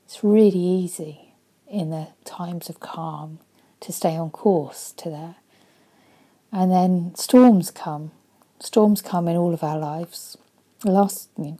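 A woman in her forties, a life coach, speaking earnestly and softly into a webcam in her living room, often dropping to a breathy near-whisper. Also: fairly low pitch. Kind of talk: motivational vlog talk.

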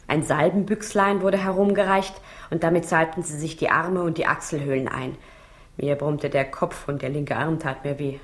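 An actress playing an intrigued, wry woman recounting events with measured, vivid delivery in a 1960s German radio drama. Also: deep voice, lightly acted.

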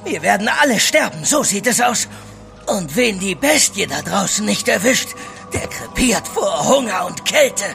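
Gravelly, high-pitched desperate sailor. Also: male voice, big pitch swings, a little slow, bright, forceful tone, faint music behind, heavily acted. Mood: threatening. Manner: coarse, threatening. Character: desperate, paranoid armed sailor, gruff and hostile. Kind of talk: German radio drama acting.

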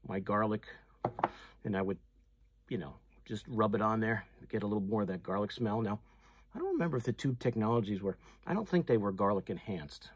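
A man's voice: on the low side, lively intonation, a little fast, slightly rough, no echo. A man, hosting a fishing channel and chatting casually through a hands-on demonstration, full of fillers and restarts. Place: tackle shop or room with walls of packaged lures, indoors.

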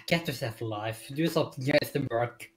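A man's voice: mid-range voice, moderate pace, a bit bright. A man in casual game chat, talking in a teasing manner during police roleplay.

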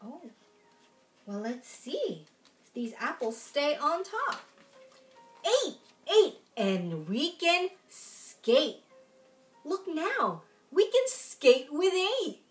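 Very animated high-voiced woman reading to kids. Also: very slow, soft background music. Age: young adult. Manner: expressive, exaggerated storyteller delivery. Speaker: children's library storytime reader. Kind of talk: animated picture-book read-aloud for young children. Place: room at home, in front of a folding screen.

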